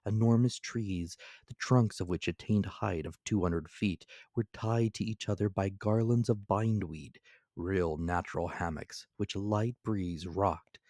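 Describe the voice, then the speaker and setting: Low-voiced man narrating a classic novel. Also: a little slow, dark tone, dry recording. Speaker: audiobook narrator. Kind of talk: dramatic scripted reading of classic fiction.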